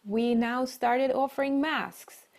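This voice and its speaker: A woman's voice: high-pitched, steady tone, slow. A woman from Siberia with a Russian accent, answering as an interview guest in a conversational style. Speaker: interview guest, marketing leader and coach.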